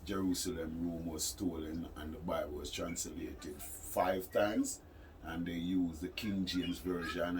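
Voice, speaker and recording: Deep-voiced Caribbean man preaching, noisy recording. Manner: preaching, insistent, repeats phrases for emphasis. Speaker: interviewee. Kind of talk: impromptu phone interview, explaining his views. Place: studio, during a phone call. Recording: noisy ambient room recording.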